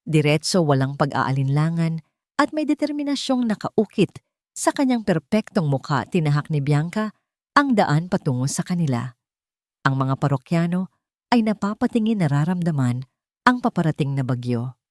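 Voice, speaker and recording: A woman with a Filipino accent narrating a dramatic romance story in a deliberate, theatrical way. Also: low-pitched, sing-song, darkish tone, no room sound.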